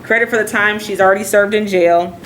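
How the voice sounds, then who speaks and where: A woman's voice: medium pitch, fairly even intonation, brisk, a bit bright, a little hiss or hum, low-quality recording. A woman acting as prosecutor in court, formally reading out the terms of a plea offer as a list.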